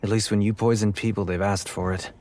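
An actor playing a troubled, world-weary 1930s police officer with a terse, brusque delivery in a noir audio drama. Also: male voice, fairly low pitch, flat delivery, brisk, darkish tone, a little hiss or hum, natural voice. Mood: weary, bitter. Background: music.

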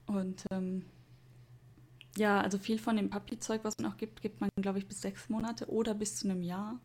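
A woman's voice: mid-range voice, level tone, moderate pace, a little mellow. Chatty young woman telling a story. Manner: chatty storyteller, quotes people with laughs. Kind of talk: casual chat.

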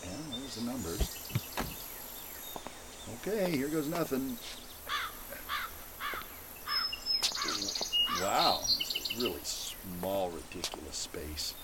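A weary, jittery man played by a male actor grumbles to himself in a horror audio drama, his pitch swinging up and down. He is exasperated and sweary, muttering half to the room. Loud noise runs behind the voice. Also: expressive, slow pace. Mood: puzzled. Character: weary, jumpy, grumbling man living alone with gadgets. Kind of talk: old-time radio style horror audio drama monologue.

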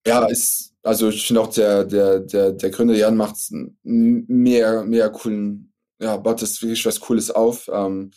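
A man with a Luxembourgish accent whose first language is French, talking casually as a guest on a podcast and pausing often with fillers and restarts. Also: lowish voice, level tone, dark tone. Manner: halting, many fillers and restarts. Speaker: startup founder guest.

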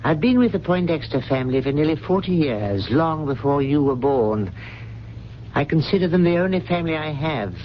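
A man's voice: fairly high pitch, lively intonation, moderate pace, close to an everyday voice. Guy playing old butler in a 70s radio drama, grave n formal, accusing, muffled hissy recording. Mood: grave, pointed. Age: older. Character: stern old loyal family butler, accusing and unafraid. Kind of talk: 1970s radio drama acting.

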